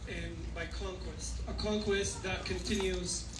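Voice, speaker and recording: A man's voice: high voice, steady tone, unhurried. A man from Palestine giving an impassioned speech at an outdoor rally. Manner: impassioned, rising to forceful. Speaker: rally speaker. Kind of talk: protest speech. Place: outdoor protest. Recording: echoey, distant, noisy outdoor recording.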